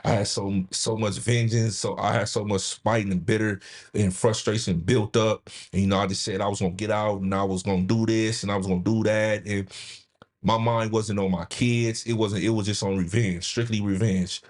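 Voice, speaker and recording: A man with a lowish voice speaks earnestly about his own past, circling back and repeating words as he goes. He talks in a candid, unscripted way on a live show. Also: level tone, normal speed. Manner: earnest, repeats and restarts phrases. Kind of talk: candid personal storytelling. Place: studio with caller on line.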